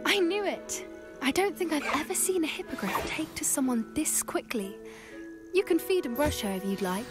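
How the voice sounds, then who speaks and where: An actress playing a gentle, earnest teenage schoolgirl who loves animals in a video game, speaking softly and expressively. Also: high-pitched, expressive, normal speed, loud music behind the voice. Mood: warm, admiring. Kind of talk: video game cutscene dialogue.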